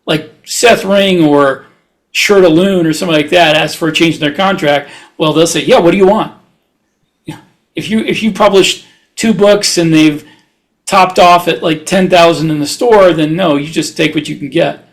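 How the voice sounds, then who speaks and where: A man co-hosting a podcast, talking casually and haltingly as he thinks aloud. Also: on the high side. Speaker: podcast co-host and author. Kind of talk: casual podcast discussion of publishing.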